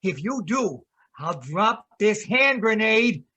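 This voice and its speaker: An actor playing a desperate, defiant killer in old-time radio drama style, frantic and taunting. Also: male voice, high-pitched, very animated, slow, sharp tone, slightly rough, muffled recording, heavily acted. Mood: defiant, panicked. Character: desperate, defiant male killer threatening with a grenade.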